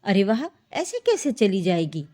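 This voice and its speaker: A woman's voice: mid-range voice, wildly swooping pitch, a little slow, dark tone, pitched below the performer's own voice. A woman voicing a domineering, haughty mother-in-law with a bossy, scornful manner in a story.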